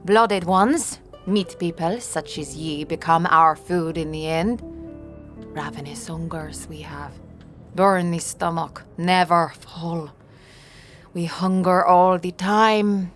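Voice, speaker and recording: A woman playing a ravenous, menacing ghoul queen in a fantasy audio drama, speaking slowly in broken, repetitive phrases peppered with French. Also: on the low side, steady tone, soft background music. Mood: hungry menace.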